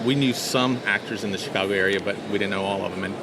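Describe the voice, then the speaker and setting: A man's voice: fairly low pitch, quick pace. A man speaking casually as a podcast guest and audio drama producer in an interview. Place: live on site at a convention. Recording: noisy live recording with background hum.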